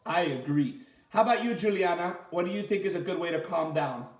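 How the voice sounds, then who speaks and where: A man's voice: high voice, expressive, a little fast. A man leading an interactive story time for children as a coach, chatty and encouraging, explaining things simply with plenty of repetition. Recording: echoey room.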